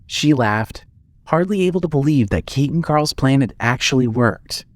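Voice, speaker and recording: A man narrating a scripted horror story in a dramatic, measured storytelling manner. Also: mid-range voice, animated, dry recording.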